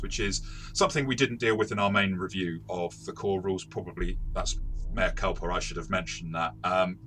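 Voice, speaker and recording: Man, very deep voice, forceful tone, conversational game review, hesitant self-correcting explainer. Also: slight background noise. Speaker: tabletop game reviewer and game master.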